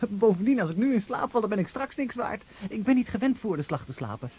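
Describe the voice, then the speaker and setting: Radio play youth: very high husky voice, naive young soldier, earnest, brave, keen. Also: male voice, very animated, fast talker, dark tone, full character voice. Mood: excited. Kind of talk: radio drama acting.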